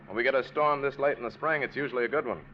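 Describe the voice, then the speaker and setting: An actor playing an easygoing road ranger in an old radio drama, folksy and matter-of-fact. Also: male voice, medium pitch, very fast, lightly acted. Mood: easygoing, official. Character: easygoing male road ranger at a snowy checkpoint. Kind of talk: 1940s radio acting. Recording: dull, faintly noisy old radio recording.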